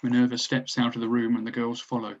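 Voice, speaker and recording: A man's voice: medium pitch, monotone, fast, creaky, dull audio. A man narrating stage directions from a script at a drama table read.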